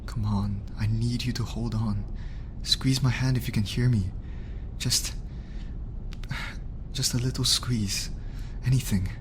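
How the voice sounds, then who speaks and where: A man's voice: mid-range voice, fairly even intonation, unhurried, lightly acted. A man playing an urgent, caring field medic in an audio roleplay, pleading and commanding. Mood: grave, urgent. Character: urgent, caring male field medic fighting to save a patient. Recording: clearly noisy, ambience behind voice.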